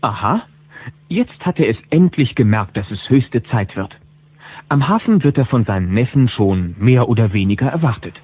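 A man's voice: medium pitch, lively intonation, moderate pace, slightly rough. A man narrating a children's audio drama as a chatty, knowing storyteller who poses rhetorical questions. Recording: phone-like old cassette sound.

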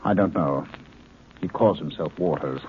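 A man's voice: very deep voice, measured pace, breathy edge, heavily acted. An actor playing a male scientist held captive in a 1950s radio drama, firing off urgent, incredulous questions. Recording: dull old broadcast recording, faint hiss.

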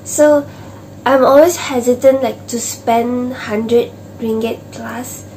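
A young woman with a high voice chats slowly and ramblingly to camera from a small home office, full of fillers and restarts. Her voice is turning slightly hoarse. Faint room ambience sits behind her. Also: steady tone, darkish tone, a little hiss or hum, rough recording. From Malaysia. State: voice going hoarse, clearing throat. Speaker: stationery vlogger. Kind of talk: casual unboxing chat to camera. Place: small home office with desk and shelves, indoors.

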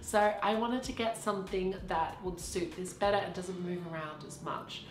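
A woman with a cold, sounding croaky, chatting casually as she narrates an unboxing vlog and gushes over the packaging. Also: on the low side, moderate pace. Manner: chatty, gushing about packaging. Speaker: vlogger. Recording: roomy sound with background music.

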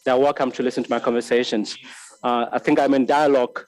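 A man in his thirties from Lesotho giving a filmmaking master class, speaking reflectively and haltingly, repeating words and restarting often. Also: mid-range voice, steady tone, darkish tone, low-quality recording. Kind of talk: reflective spoken lecture. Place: large bright hall with tall windows. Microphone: desk microphone on a stand.

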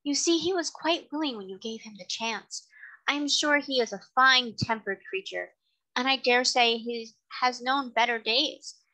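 A woman voicing a kind, gentle lady who speaks politely and earnestly in a storybook reading. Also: a little high, moderate pace, pitched above the performer's own voice, rough recording. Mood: gently persuasive. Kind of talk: audiobook character reading.

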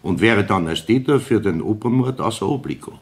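An actor playing a brisk, authoritative senior male police councillor in a radio crime drama. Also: on the low side, expressive. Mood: brisk, reasoning.

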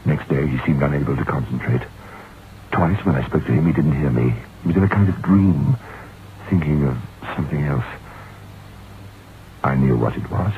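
An actor playing an anxious, haunted novelist in an old-time radio drama, speaking gravely and deliberately. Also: male voice, very deep voice. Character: haunted, anxious male novelist narrating his ghost story. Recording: muffled, noisy old radio recording.

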